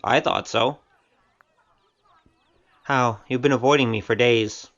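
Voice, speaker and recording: A man's voice: medium pitch, steady tone, takes it slowly, a bit bright, muffled recording. A man playing a frank, easygoing wanderer in an audio drama, casual and plain-spoken. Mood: wry, probing.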